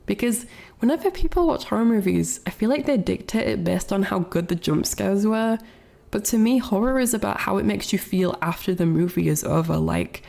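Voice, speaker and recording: Young female streamer, congested from a cold, gravelly, rambling casual chat. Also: lowish voice, a little mellow. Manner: rambling, many fillers and restarts.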